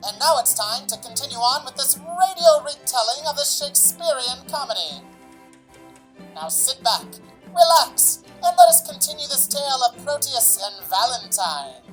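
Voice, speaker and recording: A woman's voice: high-pitched, very animated, moderate pace, faint music behind, low-quality recording. A woman playing a grand, showy narrator in old-time radio presenting style.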